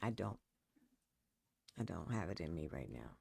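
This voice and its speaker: A woman's voice: a bass voice, very animated, a little slow, gravelly, darkish tone, slightly rough, no echo, clean studio sound. A middle-aged woman with a New York accent, a guest poet and author chatting casually, repeating words and trailing off. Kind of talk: casual conversation.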